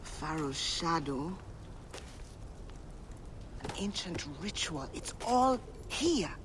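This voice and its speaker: A man's voice: fairly high pitch, sing-song, extremely slow, noisy background, full character voice. A man voicing a curious, bookish scholar in a game cutscene, with a musing delivery. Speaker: game character. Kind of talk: video game cutscene acting.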